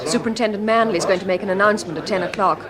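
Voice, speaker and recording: A brisk female staff member from an audio drama, played by an actress. She is dry and blunt in delivery. A chattering crowd is clearly audible behind her. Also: mid-range voice, lightly acted.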